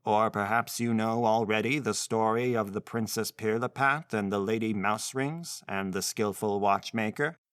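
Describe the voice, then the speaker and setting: A man voicing an odd old godfather in a storybook reading, chanting slowly in a grating, monotonous tone. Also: lowish voice, clean tone, no echo, studio quality, close to an everyday voice. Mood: mysterious.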